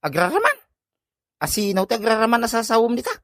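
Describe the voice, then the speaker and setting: A woman playing an exasperated, sharp-tongued village woman who scolds and mocks in Ilocano comedy drama, with an Ilocano accent. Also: a little high, sing-song, fast talker, no echo, studio quality. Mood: disbelieving, scolding.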